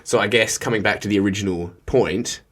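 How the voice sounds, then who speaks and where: Sarcastic man, low voice. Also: fairly bright tone. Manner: wry, sarcastic, drawn-out emphatic phrasing. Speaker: podcast co-host. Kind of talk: casual political chat.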